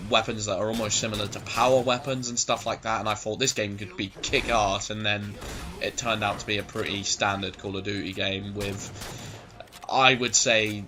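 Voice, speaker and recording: A man in his twenties giving rambling, sweary gameplay commentary, his voice going a bit hoarse. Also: fairly low pitch, fairly even intonation, moderate pace, music in the background. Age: 20s. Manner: rambling, swears a lot, goes off on tangents. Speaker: gaming commentator.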